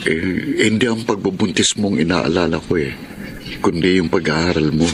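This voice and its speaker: An actor playing an earnest, devoted, hardworking boyfriend in a Tagalog radio drama, speaking in a heartfelt way. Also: male voice, sits low, normal speed, a little hiss or hum. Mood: worried, sincere. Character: Robert, devoted hardworking boyfriend, adult man.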